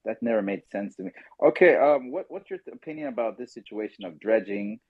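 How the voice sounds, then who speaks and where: Husky-voiced man hosting a casual podcast. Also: fairly low pitch, dry recording, narrow, tinny audio. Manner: animated storyteller, mimics others in quick asides. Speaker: podcast host.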